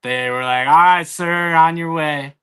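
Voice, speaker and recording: A man's voice: a little high, unhurried, fairly bright tone, clean tone. A man chatting casually and jokingly, with drawling, hesitant trash talk.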